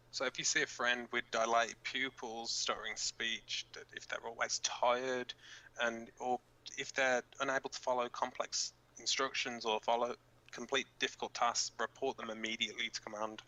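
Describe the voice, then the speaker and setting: Man playing a bright-voiced, informal resistance radio fighter. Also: medium pitch, fairly even intonation, muffled recording, lightly acted. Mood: serious instruction. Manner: halting, informal, blunt, cursing. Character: flustered, sweary young male resistance fighter reading radio bulletins. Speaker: radio co-host in a fiction drama. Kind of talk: amateur audio drama, fictional pirate radio broadcast.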